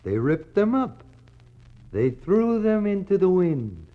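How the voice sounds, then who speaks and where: A muffled 1960s radio drama recording carries an Inuit man telling an old tale. The voice is deep and unhurried, with swooping intonation. He speaks simply and solemnly, with a sense of wonder. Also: very animated, heavily acted. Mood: wistful. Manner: slow, simple declarative storytelling with dramatic pauses. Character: Inuit man recounting his fathers' discovery, simple, wondering.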